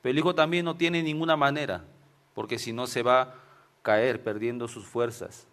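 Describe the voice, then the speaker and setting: A man's voice: mid-range voice, fairly even intonation, moderate pace, fairly bright tone. A man from Venezuela with a Venezuelan Spanish accent, preaching an informal sermon and telling stories, often ending phrases with tag questions. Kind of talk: informal sermon with personal anecdotes.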